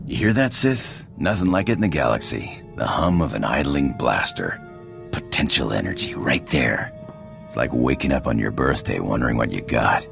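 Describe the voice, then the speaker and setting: Super deep gravelly space pirate for a game, cocky n flirty, drawly slangy swagger. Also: male voice, animated, normal speed, music in the background, heavily acted. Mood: relishing, relaxed. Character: cocky roguish space pirate, tough flirtatious adult man. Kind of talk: video game character acting.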